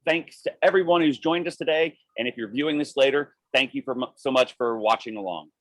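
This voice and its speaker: A man opens a webinar and formally reads out the panelists' introductions, stumbling only slightly. His voice is mid-pitched and sits close and dry, with no room sound around it. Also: brisk, no echo. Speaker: webinar moderator.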